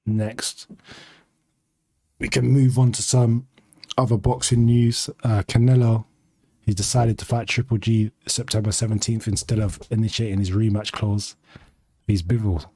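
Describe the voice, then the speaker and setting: A man's voice: fairly low pitch, little pitch movement, unhurried, mellow, husky, no echo. Slangy young man with hay fever. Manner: slangy, rambling, laughs easily. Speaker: podcast host. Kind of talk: casual chat.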